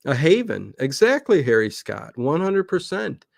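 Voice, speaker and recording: A man's voice: fairly high pitch, very animated, unhurried, gravelly, dry recording. Man chatting, very dry close sound. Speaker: guest, online old-time radio channel creator. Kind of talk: casual livestream interview chat.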